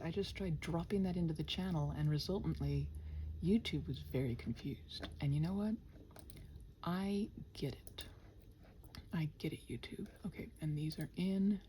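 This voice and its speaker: A woman's voice: fairly low pitch, mellow. A woman hosting a rambling ASMR work session, muttering to herself, often half-whispered, with faint noise. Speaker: host of a body-doubling ramble. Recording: faint noise, keyboard typing. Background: computer keyboard and typing.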